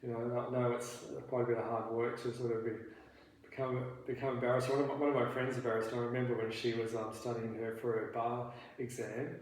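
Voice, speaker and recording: A man's voice: medium pitch, flat delivery. Man, counsellor in a role-play session, hesitant questioner, recorded in a very reverberant room. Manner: halting, many restarts and "you know" fillers, gently probing. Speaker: therapist in a training role play. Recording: very echoey room, faint background noise.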